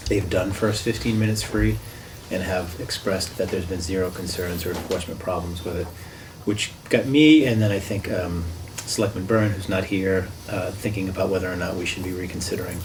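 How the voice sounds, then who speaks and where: Deep voiced official guy, halting meeting remarks, far from mic. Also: steady tone. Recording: distant mic, noisy room.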